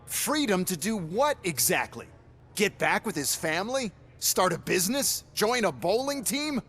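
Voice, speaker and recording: An actor playing a smug, flamboyant corporate executive in a game cutscene, sarcastic, showy and condescending. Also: male voice, sits high, very animated, a little slow, a bit bright, husky, exaggerated voice. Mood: dismissive, sarcastic. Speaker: executive and product presenter. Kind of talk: theatrical video game cutscene acting.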